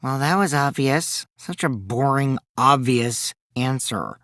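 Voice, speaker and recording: A man's voice: medium pitch, animated, slow, a bit bright, no echo, studio quality. A man voicing a mischievous, taunting teenage boy who lies with glee, in theatrical anime video game style. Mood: cold, low. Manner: theatrical, swinging from gleeful mockery to cold remarks.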